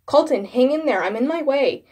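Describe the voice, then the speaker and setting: Woman playing a frantic, high-voiced yelling schoolboy. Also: lively intonation, normal speed, slightly rough. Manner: urgent yelling. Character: frantic grieving schoolboy calling to his brother.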